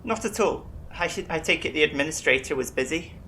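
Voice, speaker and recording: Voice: mid-range voice, lively intonation, a little fast, pushed and bright, slight background noise. An actor as an eccentric, centuries-old alien doctor with a mid-range voice, chatty and eager. The diction is learned and polite, in science-fiction audio drama style, over faint ambience. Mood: flustered, obliging.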